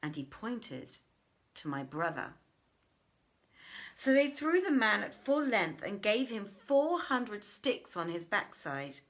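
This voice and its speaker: Slow-paced woman narrating an old tale. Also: mid-range voice, sing-song, fairly bright tone. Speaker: audiobook reader.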